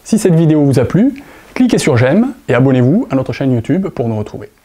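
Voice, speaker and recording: Very dark-voiced French man presenting. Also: mid-range voice, big pitch swings, a little fast, breathy edge, faint music behind. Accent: French from France. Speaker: presenter of explainer videos. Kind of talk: scripted explainer narration.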